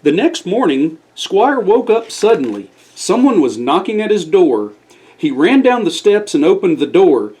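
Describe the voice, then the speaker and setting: A man's voice: high-pitched, big pitch swings, unhurried, a little mellow, slightly rough, rough recording. A man reading a scripted bedtime story aloud as the storyteller.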